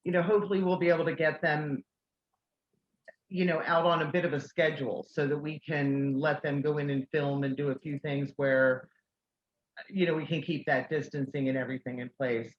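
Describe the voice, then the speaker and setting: A woman's voice: low voice, steady tone, moderate pace, reverberant, lo-fi sound. A woman who organizes a convention, chatting casually and telling a self-deprecating story. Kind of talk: casual video call chat.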